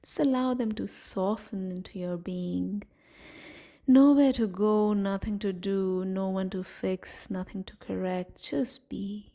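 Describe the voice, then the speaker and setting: A woman leading a guided meditation in a soothing, gentle manner, leaving long pauses between short phrases. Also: mid-range voice, fairly even intonation, creaky. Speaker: meditation guide and series host.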